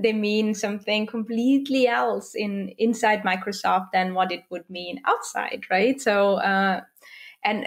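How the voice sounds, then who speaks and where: A woman in her thirties whose first language is German, hosting an interview over a video call from a home office, friendly and quick to laugh, with many "you know"s. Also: medium pitch. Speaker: podcast host. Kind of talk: casual interview conversation. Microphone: video call with wired earphones.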